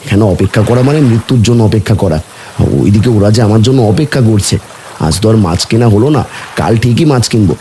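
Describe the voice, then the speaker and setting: A kindly, humble villager from an animated story , acted by a man. The voice sits low and is soft-edged and dark, with some noise in the background. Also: fast talker, lightly acted. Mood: anxious, urgent. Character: kindly humble villagers: poor boatman and lonely widower. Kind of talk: animated story character dialogue.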